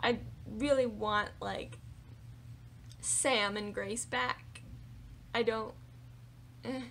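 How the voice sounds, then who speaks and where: A young woman book vlogger chatting casually and animatedly about her reading, her voice a little raspy from singing. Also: fairly high pitch, extremely slow, slight background noise. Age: young adult. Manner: animated, chatty, lots of fillers and hand gestures. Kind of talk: casual monthly reading wrap-up. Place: small room with bookshelves indoors.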